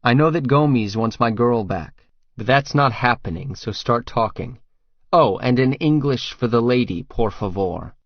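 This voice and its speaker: A man's voice: mid-range voice, expressive, a little slow, dry recording, highs cut off. A man voicing a tough, sardonic fighter who questions a captive in an audiobook, wry and commanding. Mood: menacing. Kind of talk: audiobook character dialogue.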